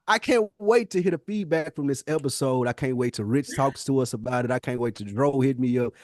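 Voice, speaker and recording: A man's voice: mid-range voice, a little fast, a little mellow, no echo, studio quality. A man co-hosting a podcast in casual, argumentative chat, slangy and emphatic, repeating phrases to press his point. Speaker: podcast co-host.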